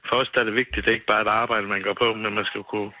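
A man's voice: lowish voice, little pitch movement, a little hiss or hum. A man giving plain, matter-of-fact answers as a business owner interviewed over a tinny phone line. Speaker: hair salon owner, interview guest. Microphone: telephone.

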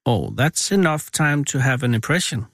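A man's voice: mid-range voice, expressive, normal speed, dry recording, studio quality. A middle-aged man, hosting a casual interview in a teasing, jokey way. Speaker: radio host.

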